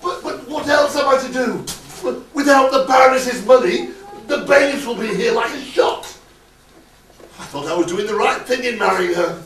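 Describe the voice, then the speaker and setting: Man as henpecked older father, dithering, high-pitched, stage acting, distant noisy recording. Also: moderate pace, fairly bright tone. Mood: regretful. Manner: hesitant, stammering, flustered. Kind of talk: broad amateur pantomime stage acting. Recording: echoey, noisy hall from far off.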